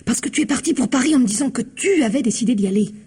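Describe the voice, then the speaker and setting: A woman's voice: fairly high pitch, animated, racing, a little mellow, breathy edge. An actress playing a policeman's nagging, inquisitive wife in a radio mystery, with a homely, colloquial way of talking. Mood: needling. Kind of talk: old French radio mystery acting.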